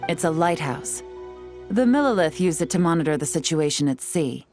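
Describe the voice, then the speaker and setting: Cool, confident spy woman for a game: low register, assured and instructive, music underneath. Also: animated, moderate pace, darkish tone. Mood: assured, businesslike. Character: cool, shrewd adult female intelligence agent, confident and composed. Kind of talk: video game voice acting.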